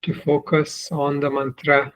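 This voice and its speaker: Male meditation guide. Very slow, measured delivery with little melodic movement and long pauses. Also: a little high, completely flat delivery, a bit bright. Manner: deliberate, measured, with long pauses between short phrases. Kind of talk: guided meditation, spoken instruction.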